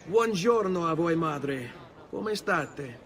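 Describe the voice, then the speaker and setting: Cocky young Italian nobleman, lively pitch. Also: slow, heavily acted. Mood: easygoing. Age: young adult. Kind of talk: video game cutscene acting. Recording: faint background noise.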